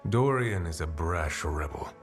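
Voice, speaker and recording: A man playing a grave, thoughtful counsellor among rebels in a video game, speaking in a measured, formal way. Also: sits low, lively intonation, soft background music. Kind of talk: video game character dialogue.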